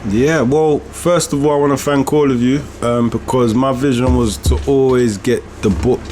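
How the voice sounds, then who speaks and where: A man hosting a reflective podcast conversation, speaking in an earnest, deliberate way. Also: medium pitch, steady tone, mellow, slight background noise. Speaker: podcast host and author.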